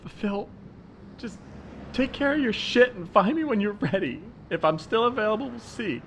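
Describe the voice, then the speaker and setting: A man's voice: high voice, expressive, normal speed, fairly bright tone. A man playing a fed-up girlfriend in improvised comedy, speaking in a blunt, weary, incredulous way. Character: exasperated, fed-up girlfriend waiting on commitment. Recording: faint outdoor ambience noise. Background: added faint city soundscape.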